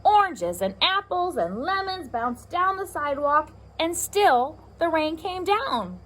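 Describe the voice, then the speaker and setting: A woman reading a picture book aloud to young children, expressive, with chatty asides to her listeners. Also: very high-pitched, unhurried. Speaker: pre-K teacher reading aloud.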